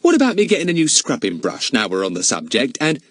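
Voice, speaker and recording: A man's voice: mid-range voice, huge pitch swings, fast talker, fairly bright tone, no echo, studio quality, voice pushed up. A man doing a chatty older housekeeper woman. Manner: gossipy, deferential, many a "sir". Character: chatty older female housekeeper, talkative and easily offended. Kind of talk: audiobook character voice.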